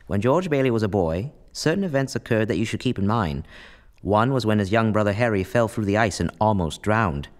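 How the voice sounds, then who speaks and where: A man playing a calm, authoritative senior angel in a radio play, explaining things in a measured, kindly way. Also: lowish voice, close to an everyday voice. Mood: storytelling.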